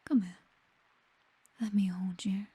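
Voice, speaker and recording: A woman with a British accent playing a possessive, seductive vampire mistress in a whispered, breathy ASMR roleplay, doting yet condescending and coolly menacing. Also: mid-range voice, steady tone, takes it slowly, warm tone, dry recording.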